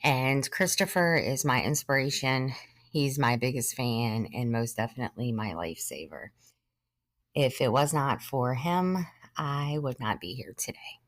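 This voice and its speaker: A woman in her thirties, a podcast host sharing her own story in an earnest, measured way, sometimes short of breath and pausing. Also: a bass voice, lively intonation, dry recording, clean studio sound. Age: 30s. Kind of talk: personal testimony monologue.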